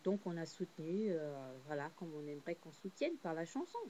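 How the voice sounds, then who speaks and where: A woman's voice: on the low side, a little fast. A woman born in Portugal to a Cape Verdean family, telling a personal story in a casual, rambling way with many fillers and restarts. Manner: heartfelt, swings between subdued and animated.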